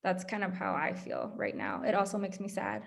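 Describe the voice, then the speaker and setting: A woman's voice: medium pitch, very animated, normal speed, creaky. A woman co-hosting a student webinar, speaking plainly and conversationally and addressing the audience directly. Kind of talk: presenting a discussion talk.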